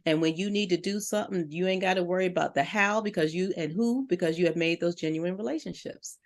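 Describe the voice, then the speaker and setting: A woman's voice: fairly low pitch, fast talker. A middle-aged woman, a business coach on a talk show, giving direct and encouraging advice to listeners. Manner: earnest, direct and encouraging, addresses listeners as "you".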